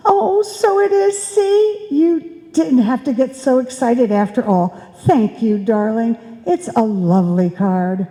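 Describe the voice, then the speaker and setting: Heard in a reverberant live hall, a woman performs a martyred, nagging wife in an old-time radio comedy. Her voice is a little high and soft-toned, and she takes her time as she needles her husband. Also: natural voice. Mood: sweetly smug. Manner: needling, long-suffering, deliberate.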